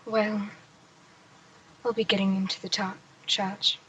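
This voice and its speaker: An actress playing a prim, judgmental village busybody in an old-time radio drama, sanctimonious and gossipy. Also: female voice, medium pitch, little pitch movement, takes it slowly, fairly bright tone, breathy edge, muffled recording. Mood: prim, disapproving. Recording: distant from the microphone.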